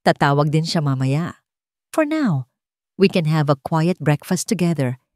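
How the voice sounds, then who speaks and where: A Filipino-accented woman voicing a calm, evasive wealthy wife in an audio story, reassuring smoothly in mixed English and Tagalog. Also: deep voice, measured pace, no echo, clean studio sound. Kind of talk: audio story character dialogue.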